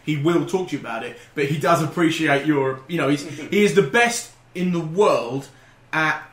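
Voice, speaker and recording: Bright-voiced man chatting in an echoey room. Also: fairly high pitch, moderate pace. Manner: animated, rambling, swears casually. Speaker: podcast co-host. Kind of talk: casual group banter and storytelling. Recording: roomy, noisy room recording.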